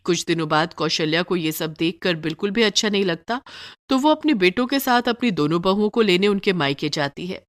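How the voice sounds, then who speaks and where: A woman's voice: on the low side, expressive, a little fast, dry recording, clean studio sound. A woman storyteller reading scripted narration for a moral story.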